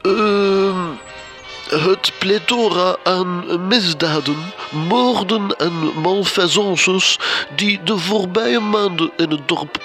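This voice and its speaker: A man narrates a gothic comedy radio play from a script. His voice is high for a man and his pace slow, with music clearly underneath.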